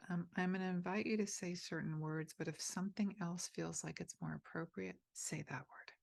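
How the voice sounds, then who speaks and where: A woman leading a guided meditation, speaking softly and gently inviting the listener, with pauses between phrases. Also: lowish voice, steady tone, creaky, no echo. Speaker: meditation teacher.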